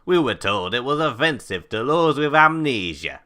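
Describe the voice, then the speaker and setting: Man acting a grumpy older British prisoner, bright-voiced. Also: mid-range voice, very animated, moderate pace. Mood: rambling. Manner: blunt, exasperated, long-winded. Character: grumpy, rambling older male prisoner plotting a heist. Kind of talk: scripted audio comedy acting.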